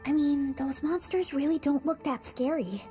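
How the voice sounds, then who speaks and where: A woman's voice: high voice, moderate pace, dark tone, soft background music, lightly acted. A woman playing a mild, candid young female adventurer in a video game, casual and matter-of-fact. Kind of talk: video game character acting.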